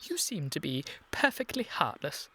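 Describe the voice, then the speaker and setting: Higher-voiced indignant English gentleman. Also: big pitch swings, normal speed. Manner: fussy, reproachful, proper. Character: indignant, earnest, exasperated young English gentleman. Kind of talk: comic period radio play acting. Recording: clear background ambient noise.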